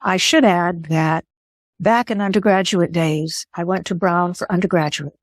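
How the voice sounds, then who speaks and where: An older woman whose first language was Greek, speaking in an interview in a thoughtful, measured way and pausing to rephrase. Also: fairly low pitch, no echo, clean studio sound. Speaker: guest. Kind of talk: interview conversation.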